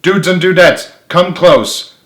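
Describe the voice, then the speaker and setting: Man acting a high-pitched, commanding young superhero. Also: slow pace, fairly bright tone, reverberant. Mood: urgent. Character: bossy, quick-thinking male young superhero leader.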